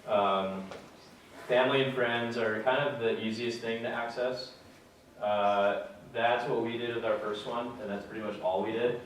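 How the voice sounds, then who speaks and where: A young man giving a casual talk to a student audience, relaxed and plain-spoken with many fillers. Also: medium pitch, normal speed, slight background noise. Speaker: guest speaker. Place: lecture room with audience. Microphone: far camera. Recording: echoey room from far off.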